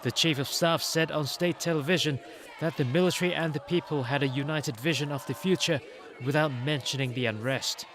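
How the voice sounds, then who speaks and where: A man's voice: on the high side, fairly even intonation, brisk, noisy background. A man working as a TV news reporter, reading a scripted news narration.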